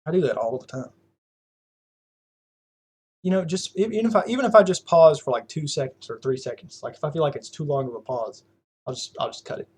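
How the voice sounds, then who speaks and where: A man's voice: a little high, animated, a little fast, pauses often, a little mellow, slightly rough. Young southern guy rambling on a podcast, lots of um and like, laughing. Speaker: podcast host. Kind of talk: casual chat.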